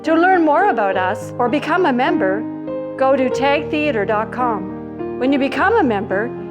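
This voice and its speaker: A woman's voice: sits high, expressive, normal speed. A woman reading a scripted promotional outro as an announcer. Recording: prominent music under the voice. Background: music.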